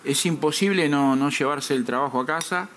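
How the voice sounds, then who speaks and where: A man, a talk show guest who speaks in a serious, measured way and hesitates with fillers. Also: on the high side, a bit bright, slight background noise. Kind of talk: earnest interview answers. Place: TV studio.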